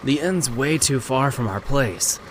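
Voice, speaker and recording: A man's voice: medium pitch, lively intonation, a little slow, a little hiss or hum, natural voice, poor audio. Man playing sly middle-aged male smoker, wry, probing, casual, anime dub delivery. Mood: prying. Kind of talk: anime fan dub acting.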